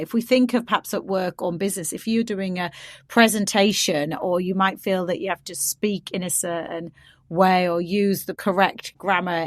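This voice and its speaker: A woman's voice: lowish voice, dry recording, studio quality. A woman hosting a podcast, asking long, winding, curious questions in casual conversation. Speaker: podcast host. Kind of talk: casual interview chat.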